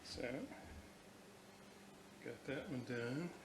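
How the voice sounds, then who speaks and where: Male hobbyist restorer, casual workbench narration in halting, self-directed fragments; echoey room, clearly noisy. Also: medium pitch. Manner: muttering to himself while working, halting fragments. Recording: roomy, echoing, noisy workshop recording.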